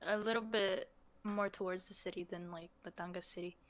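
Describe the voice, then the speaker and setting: A young woman from the Philippines talks casually on a podcast, her voice sitting a little low for a woman. She laughs often and lets sentences trail off before picking them up again. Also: steady tone, a little fast. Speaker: podcast co-host. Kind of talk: casual chat.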